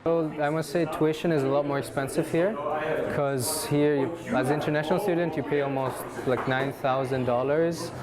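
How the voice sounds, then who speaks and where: A man's voice: fairly high pitch, fairly even intonation, normal speed. A young man from Switzerland talking casually in an interview. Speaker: exchange student, interviewee. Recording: loud crowd chatter behind.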